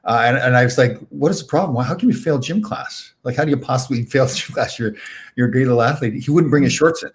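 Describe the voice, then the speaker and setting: A man's voice: mid-range voice, fast. A middle-aged man hosting a casual interview, thinking aloud with frequent restarts and repeated words. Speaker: podcast host.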